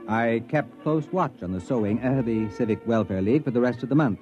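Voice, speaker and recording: An actor playing an excitable, exasperated sociology professor in a 1950s radio drama. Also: male voice, medium pitch, a little fast, close to an everyday voice. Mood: narrating, wry. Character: excitable male sociology professor, increasingly alarmed narrator. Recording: dull vintage radio recording with music.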